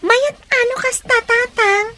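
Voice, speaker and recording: A woman's voice: extremely high pitch, steady tone, measured pace, pushed and bright, slight background noise, heavily acted. An actress playing a polite and respectful young woman who is visiting her aunt, uncle and father in a radio drama.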